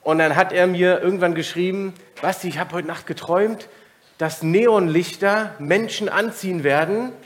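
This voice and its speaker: A man's voice: sits high, moderate pace. German man preaching casually to a congregation. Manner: informal and personal, many filler sounds. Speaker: guest preacher. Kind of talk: church talk to a congregation.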